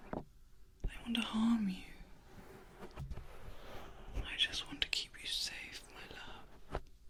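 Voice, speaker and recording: A woman playing an ancient, protective female vampire in an ASMR roleplay, in a soft, breathy whisper with formal, old-fashioned phrasing. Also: mid-range voice, close to an everyday voice. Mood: gently insistent. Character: ancient, protective, softly disdainful female vampire tending a loved one.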